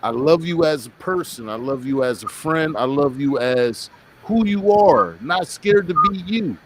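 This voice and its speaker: A man's voice: on the high side, expressive, measured pace, background noise. American man hosting, emphatic and informal. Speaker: podcast host. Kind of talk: casual interview chat.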